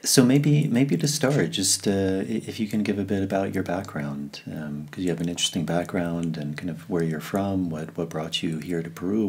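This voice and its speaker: Male podcast host, very low and husky, relaxed, unhurried. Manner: relaxed, halting with fillers. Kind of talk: casual interview. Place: Peru.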